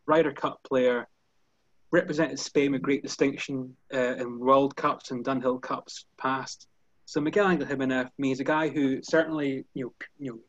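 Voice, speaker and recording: A man's voice: mid-range voice, no echo. A man in his thirties with a Scottish accent, a golf journalist talking fluently on a video call, with lots of "you know" fillers. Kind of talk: casual video-call chat. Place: indoor room with curtains behind. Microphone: headphones on a video call. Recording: dull, dry video call audio.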